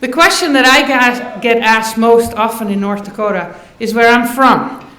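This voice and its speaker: A woman's voice: on the high side, normal speed, fairly bright tone. A middle-aged woman professor from the Netherlands, a native Dutch speaker with a Dutch accent, giving a lightly humorous commencement address. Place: large auditorium stage. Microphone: podium microphone. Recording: echoey hall, podium microphone.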